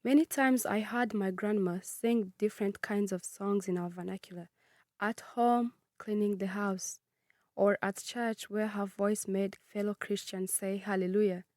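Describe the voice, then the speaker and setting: A nineteen-year-old woman from Congo who grew up in Kenya, reading her personal narrative in a measured, earnest way. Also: mid-range voice, fairly even intonation, a little mellow, no echo, studio quality. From Congo, raised in Kenya. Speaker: student narrator.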